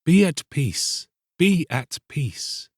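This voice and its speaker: A man's voice: on the high side, animated, very slow, a little mellow, breathy edge, no room sound, clean studio sound, voice pushed up, exaggerated voice. A man voicing a sly, soothing soul that tempts and urges in archaic storybook speech, drawing out its words coaxingly. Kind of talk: dramatised storybook reading.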